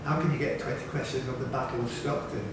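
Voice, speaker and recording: A man's voice: mid-range voice, fairly even intonation, fast talker. A man chatting casually and bantering as he takes part in a pub quiz. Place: indoors in a pub room. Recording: distant, echoey, noisy pub room.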